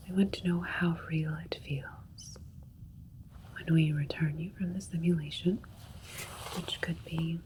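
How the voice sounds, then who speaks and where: Slow, whispering alien doctor voiced by a woman. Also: fairly low pitch, poor audio. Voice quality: breathy whisper. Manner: slow, gentle, reassuring, formal and procedural. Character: calm, clinical female alien doctor aboard a spaceship. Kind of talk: ASMR sci-fi roleplay. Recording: faint steady spaceship ambience noise. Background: soft alien spaceship ambience.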